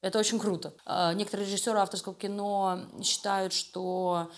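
A woman's voice: mid-range voice, fairly even intonation, a little fast. Woman in conversational, emphatic interview talk. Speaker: interview guest, animation studio creative director.

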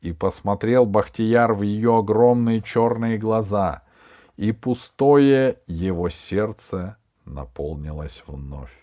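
A man reading a literary folk tale aloud as a storyteller, in a measured, dramatic way. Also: lowish voice, warm tone. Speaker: audiobook reader of folk tales. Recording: music behind the reading. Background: instrumental music with guitar.

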